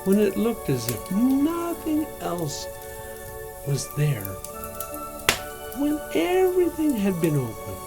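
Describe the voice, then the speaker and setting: A man reading a children's story aloud in a theatrical, drawn-out way, as a Santa performer. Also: sits high, wildly swooping pitch. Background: music.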